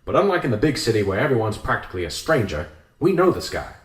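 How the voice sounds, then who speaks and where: An actor playing an older small-town police sergeant in a radio drama, plain-spoken, weary and defensive. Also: male voice, on the low side, sing-song, fast. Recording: roomy echo with music behind. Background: music.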